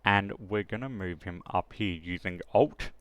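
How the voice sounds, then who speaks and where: A man's voice: low voice, measured pace, a bit bright, slight background noise. A man presenting a casual screen-recorded tutorial, thinking aloud step by step with some hesitation. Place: close dry voice-over of a screen recording.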